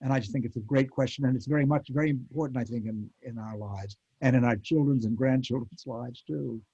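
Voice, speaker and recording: A man's voice: mid-range voice, brisk, dark tone, husky. Muffled elderly American man reminiscing. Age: 80s. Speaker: former child radio actor, convention guest. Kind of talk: reminiscing in a panel interview. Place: remote virtual convention call. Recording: muffled lo-fi call audio.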